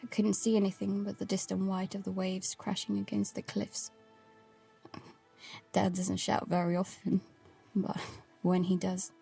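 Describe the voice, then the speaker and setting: A woman's voice: medium pitch, gravelly, a little mellow, slightly rough. A young woman playing an earnest, restless daughter narrating life aboard a boat in an intimate, confiding audio drama style.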